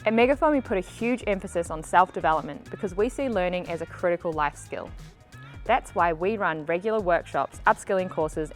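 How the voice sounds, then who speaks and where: Company presenter: Australian female, voice a touch low, scripted recruitment read over background music. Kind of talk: scripted recruitment video narration.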